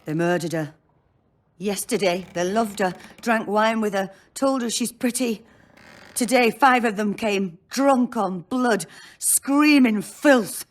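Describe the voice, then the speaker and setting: An actress playing a blunt, earthy, world-weary brothel madam in a fantasy video game, speaking in short bursts. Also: female voice, medium pitch, takes it slowly, natural voice. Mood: bitter, shaken.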